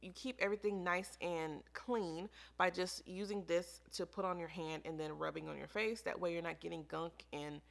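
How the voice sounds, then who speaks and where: American lady doing a chill product review vlog, kinda low voice, lots of vocal fry, informal and emphatic. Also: steady tone, moderate pace, hardly any pauses. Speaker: beauty vlogger reviewing products. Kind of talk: casual chat to camera.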